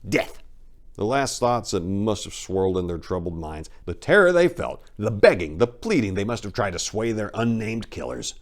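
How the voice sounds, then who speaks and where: A man with a low voice reads crime fiction as a storyteller. His delivery is theatrical, with a sardonic edge, as if confiding in the listener. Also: very animated, moderate pace. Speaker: narrator. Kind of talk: dramatic reading of a crime story.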